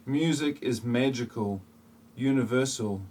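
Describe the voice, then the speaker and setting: Man playing a slow, solemn mystic visitor. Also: medium pitch, darkish tone, lightly acted. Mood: solemn, earnest. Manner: slow, earnest, portentous. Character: solemn male mystic visitor in human form, spouting cryptic wisdom. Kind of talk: amateur parody audio play acting.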